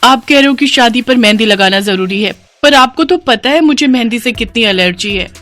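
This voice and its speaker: Woman playing anxious young bride-to-be, complaining, cartoon story, bright forceful tone, light music behind. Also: fairly high pitch, lively intonation, a little fast, voice pushed up. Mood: worried, fretful. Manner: fretful, complaining.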